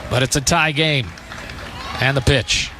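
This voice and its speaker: A man calling live baseball play-by-play on the radio in measured, clipped phrases with pauses between them. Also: medium pitch, steady tone, crowd noise, background noise. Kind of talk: live radio sports commentary.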